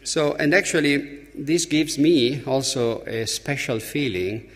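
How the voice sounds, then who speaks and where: A man from Italy with an Italian accent gives a conference speech as an opening speaker, emphatically, with halting, deliberate pauses. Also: medium pitch. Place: large conference hall. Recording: reverberant hall, long echo.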